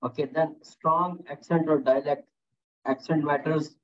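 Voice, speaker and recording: A man's voice: fairly high pitch, fairly even intonation, measured pace. A man teaching a workplace safety course on a video call, encouraging students and repeating their answers, with frequent "okay" and "very nice". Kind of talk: online lecture with class questions. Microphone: computer mic on a video call. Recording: tinny phone-like call audio.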